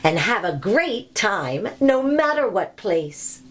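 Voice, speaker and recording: A woman's voice: mid-range voice, big pitch swings, slow. A woman voicing a hopeful, upbeat young boy in a storybook read-aloud, asking rhyming questions with confidence.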